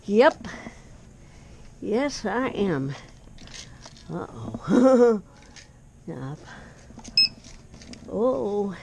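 Middle-aged woman, casual shopper muttering to herself, lively swings in pitch, noisy action-camera sound. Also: drawn-out words, vocal fry, long pauses. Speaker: vlogger out shopping. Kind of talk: casual chat and muttering to self while shopping. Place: small store, moving about indoors. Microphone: body-worn action camera.

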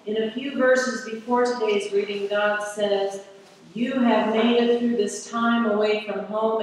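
A middle-aged woman preaches slowly and deliberately from a pulpit, leaning on rhetorical questions. She is heard from far back in a large church, her voice washed in long echoing reverb over a faint room hiss. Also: mid-range voice. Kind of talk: sermon read from a pulpit. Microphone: far camera at the back of the church. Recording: echoey church hall from far off.

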